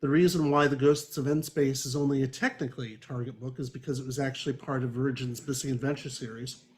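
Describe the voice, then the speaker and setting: A man's voice: medium pitch, fairly even intonation, fast, a little mellow. A man hosting a podcast, reading a prepared introduction to a book discussion with wry, dry asides. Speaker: podcast host. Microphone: online group call.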